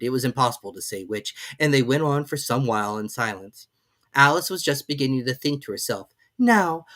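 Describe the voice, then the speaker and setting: An audiobook reader narrates a classic children's story expressively. The pitch sits in a middle range and rises and falls in a very animated way.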